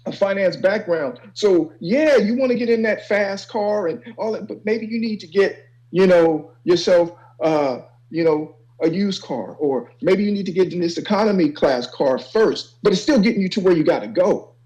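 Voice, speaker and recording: A man's voice: sits high, lively intonation, moderate pace, a little mellow, dull audio. A man speaking earnestly and conversationally on an informal panel as a guest college counselor, often adding "you know".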